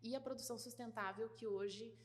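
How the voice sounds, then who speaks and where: A woman from southern Brazil with a light gaúcho accent, giving interview answers about her work and pausing often with fillers and restarts. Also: fairly high pitch, fairly even intonation, in a large hall. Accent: light gaúcho Brazilian Portuguese. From Rio Grande do Sul, Brazil. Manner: thoughtful, many fillers and restarts. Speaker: guest, food certification business partner.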